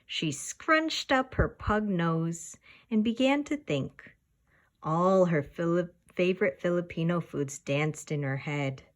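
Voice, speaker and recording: A woman reads a children's story aloud at a very unhurried pace. Her voice sits slightly low, and she shapes each phrase deliberately and expressively for young listeners. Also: expressive. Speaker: storybook reader.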